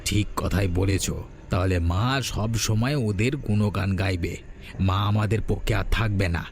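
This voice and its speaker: Man playing a low-voiced, conspiring husband. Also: fairly even intonation, moderate pace, darkish tone, natural voice. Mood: worried, agreeing. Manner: questioning, conspiratorial. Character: complicit younger husband, anxious, easily led schemer. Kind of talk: cartoon moral-tale voice acting.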